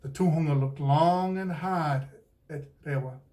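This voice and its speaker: A New Zealand man narrates a picture book in a slightly high voice. He takes his time, reading very slowly and expressively. The microphone sits a little way off from him. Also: far from the mic. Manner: unhurried, expressive read-aloud delivery. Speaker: author and storyteller.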